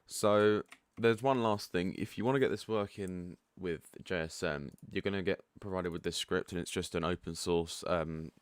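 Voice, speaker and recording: A man with a croaky, just-woken-up voice giving a relaxed, chatty walkthrough of a software setup. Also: deep voice, moderate pace, few pauses, dry recording. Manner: relaxed and chatty, frank asides. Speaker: product developer giving a setup tutorial. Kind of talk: casual screen-share walkthrough.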